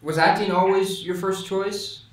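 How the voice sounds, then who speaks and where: Young male co-host, halting questioner. Very echoey room, distant mic. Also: high-pitched, steady tone, fairly bright tone, a little hiss or hum. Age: young adult. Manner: halting, restarts questions often. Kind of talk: casual interview chat. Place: dark studio room. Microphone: studio condenser mic on stand.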